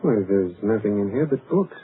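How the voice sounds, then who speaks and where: An actor playing an earnest, anxious husband. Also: male voice, on the low side, lively intonation, close to an everyday voice. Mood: puzzled. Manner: earnest, quick to alarm. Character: anxious young husband, growing frantic. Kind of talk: 1940s radio acting. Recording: tinny, hissy 1940s radio broadcast.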